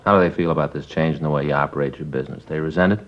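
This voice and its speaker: A courteous detective partner in an old radio crime show, firing off polite questions very fast in a low voice. The audio is muffled like a vintage broadcast. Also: male voice, steady tone. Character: polite, businesslike male police detective partner. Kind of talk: 1950s radio drama acting.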